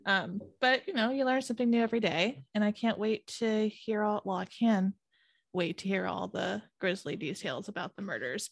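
A woman's voice: mid-range voice, dry recording. A woman co-hosting a podcast, bubbly and giggly, rambling in casual chat. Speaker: podcast co-host.

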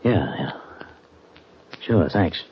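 An actor playing a shifty, scheming frontier town boss in an old radio drama, sly and guarded. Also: male voice, low voice, lively intonation, very slow, breathy edge, exaggerated voice. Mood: wary. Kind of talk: 1950s radio drama acting. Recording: muffled old radio broadcast with hiss.

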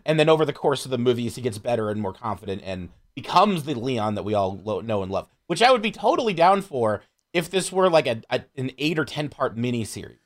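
A man's voice: mid-range voice, sing-song, a little fast, few pauses. A man in a casual, opinionated chat about films as a podcast guest, swearing freely and wandering into asides.

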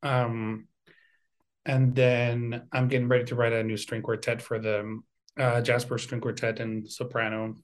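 Guy from venezuela, middle pitched, thinking out loud in an interview, repeats little words a lot. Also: flat delivery, unhurried. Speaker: composer and university music teacher, guest. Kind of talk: reflective interview talk.

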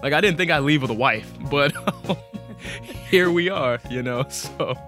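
A man's voice: fairly high pitch, measured pace, bright, forceful tone, faint music behind. A man interview guest casually telling a personal story in a studio.

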